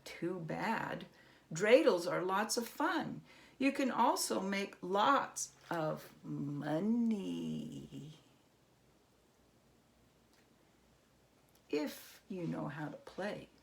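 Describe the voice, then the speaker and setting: A sly storybook trickster, a man played by a woman, talks in an unhurried, gravelly-edged voice. He toys with his listener as if he has all the time in the world. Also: lowish voice, animated, heavily acted. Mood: playful. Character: sly, unhurried man outwitting a goblin at dreidel. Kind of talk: storybook character voice.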